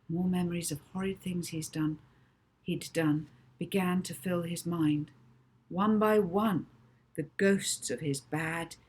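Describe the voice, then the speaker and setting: A woman author reading her children's novel aloud with dramatic, deliberate emphasis. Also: mid-range voice, a little mellow, breathy edge. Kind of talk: expressive children's audiobook narration.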